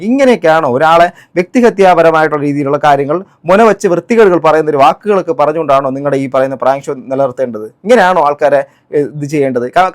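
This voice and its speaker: A man giving spoken commentary on a clip, indignant and full of rhetorical questions. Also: fairly high pitch. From Kerala, India. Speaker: reaction vlogger.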